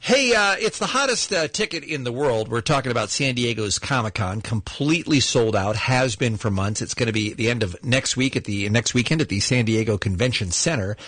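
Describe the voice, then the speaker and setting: Upbeat male morning DJ, lively delivery, bright slightly husky tone, dry booth. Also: mid-range voice, a little fast, few pauses, no echo. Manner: upbeat, plugs and teases. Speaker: radio host. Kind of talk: morning radio banter. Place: radio studio.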